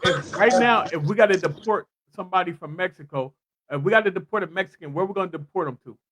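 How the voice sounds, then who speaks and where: A man with a high voice leads a casual panel discussion. He is insistent and keeps pressing with questions, circling back to repeat his point. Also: fast talker, noisy background. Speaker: podcast panel host.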